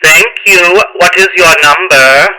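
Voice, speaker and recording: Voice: mid-range voice, unhurried, sharp tone. A performer playing an impassive, formal long-distance telephone operator in a radio play, with clipped routine phrasing. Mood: businesslike. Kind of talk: old radio play acting.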